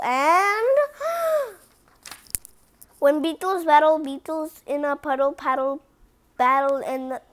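A read-aloud presenter reading a picture book in an animated way, with casual asides to viewers and some stumbling over the words. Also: sits high. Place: indoors at home, book on a cloth.

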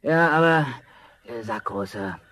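A greedy, callous young man of wealth in a fairy-tale radio play. He speaks in a medium-pitched voice, theatrical and self-satisfied, with no warmth of heart. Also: animated, measured pace. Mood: dismissive, imperious.

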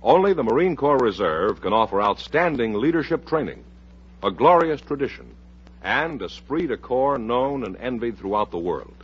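A husky-voiced American man announces a 1950s radio variety show. His pitch moves in lively swings through the scripted patter. The recording is dull and old. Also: normal speed.

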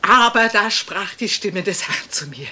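Radio drama, vengeful pious maidservant, forceful bright tone, solemn preaching, faint noise. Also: female voice, medium pitch, expressive, a little slow. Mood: righteous, gloating. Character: fanatically pious, vengeful female household servant. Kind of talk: radio crime drama acting.

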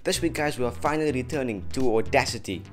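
A man's voice: medium pitch, animated, fast talker. A young man hosting a scripted but casual video tutorial, addressing viewers in a chummy, jokey way. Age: young adult. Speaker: tutorial host. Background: background music.

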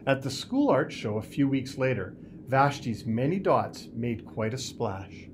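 A man reads a children's picture book aloud with deliberate, expressive storytelling in a small office. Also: mid-range voice, noisy background. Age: 50s. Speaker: school superintendent reading aloud.

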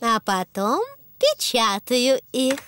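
High-pitched friendly cartoon cat. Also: female voice, big pitch swings, slow pace, background noise. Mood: friendly, explaining. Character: friendly grown-up female office cat. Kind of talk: preschool cartoon dub.

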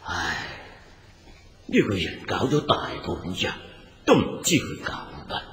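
Radio drama role: fretful old patriarch, slow and very animated, in a reverberant hall. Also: male voice, deep voice, slight background noise. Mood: doubtful, grumbling. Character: wealthy, doubtful old master of a household, fretful father. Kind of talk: Cantonese radio drama acting. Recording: echoey hall with long reverb.

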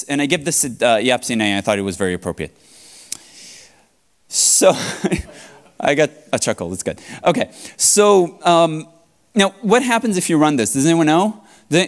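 A man in his thirties, a native Hebrew speaker, giving a playful technical talk in a large conference hall on a headset mic. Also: fairly high pitch. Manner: playful, joking, animated with hand gestures.

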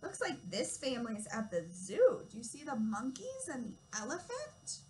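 Young female preschool teacher, high-pitched, simple instructive read-aloud delivery for toddlers, noisy room recording. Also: huge pitch swings, normal speed, darkish tone. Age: young adult. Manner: simple, instructive phrasing with questions to children. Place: small classroom, indoors.

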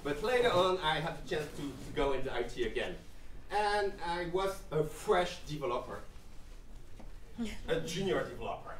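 A man's voice: fairly high pitch, very animated, moderate pace, a bit bright, distant mic, a little hiss or hum. A man giving a humorous, self-mocking keynote to a live audience in an event room, talking into a handheld mic. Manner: joking, self-mocking, playful asides. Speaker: keynote speaker. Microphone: handheld mic, filmed from the back of the room. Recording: echoey room recorded from afar.

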